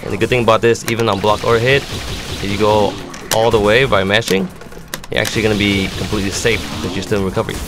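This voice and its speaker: A man's voice: fairly low pitch, fairly even intonation, fast. A man giving an instructional commentary on fighting game moves, explaining them step by step as a competitive gamer. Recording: music playing behind the voice. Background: music.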